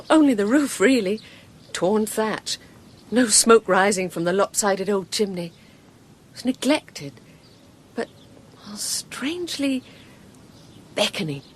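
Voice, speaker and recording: An actress playing an adventurous, teasing wife in her mid-thirties in a radio drama, breezy and expressive. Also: mid-range voice, slight background noise. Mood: wistful, intrigued.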